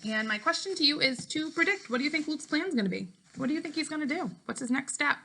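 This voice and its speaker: Woman, bright forward tone, fairly high pitch, teacher's storytelling read-aloud, expressive. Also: quick pace, a little hiss or hum, rough recording. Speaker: teacher reading a book aloud to fifth graders.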